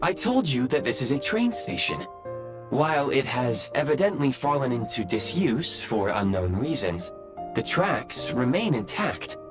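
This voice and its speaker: A courteous, logical machine-like guide in a game. He speaks in precise, formal phrases at a medium pitch with broad rises and falls, over clear background music. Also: big pitch swings, unhurried. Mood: explanatory, confident. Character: polite, logical, formal male guide, robot-like and analytical. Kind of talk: video game cutscene acting. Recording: prominent music behind.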